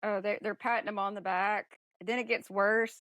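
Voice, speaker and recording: A woman's voice: mid-range voice, fairly even intonation, moderate pace, a bit bright, no room sound. Casual woman podcaster in a dry, close recording. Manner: informal, mildly sweary storytelling. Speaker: podcast co-host. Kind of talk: casual film discussion chat.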